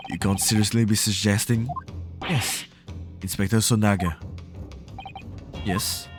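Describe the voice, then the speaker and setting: A man streaming a game, reading the dialogue aloud at a deliberate pace, then chatting playfully to his viewers. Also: lowish voice, steady tone. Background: game music.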